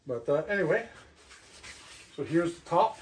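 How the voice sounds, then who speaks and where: A man's voice: a little high, animated, unhurried. Middle-aged man reviewing gear, noisy recording. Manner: talks to himself while handling gear. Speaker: product reviewer. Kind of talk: casual unboxing and hands-on review. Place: indoor living room. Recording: clicks and clear background noise.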